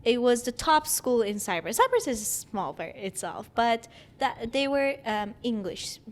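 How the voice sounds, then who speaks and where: A woman's voice: fairly high pitch, big pitch swings, measured pace. Chatty woman with a Persian-Greek accent. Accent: mixed Persian and Greek. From Iran. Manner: chatty, laughs often mid-sentence. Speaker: guest. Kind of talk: casual interview chat.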